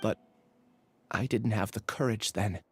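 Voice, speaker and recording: A man voicing a young male scholar in a game, talking softly and reflectively, confessing with quiet resolve. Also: on the low side, unhurried, faint music behind.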